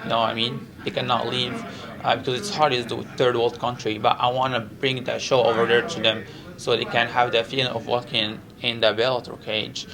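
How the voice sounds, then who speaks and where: Gravelly Moroccan-accented male fighter. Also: mid-range voice, flat delivery, fairly quick, crowd noise. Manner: earnest, repetitive, non-native English with frequent 'you know'. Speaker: professional MMA fighter. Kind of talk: press interview, casual chat.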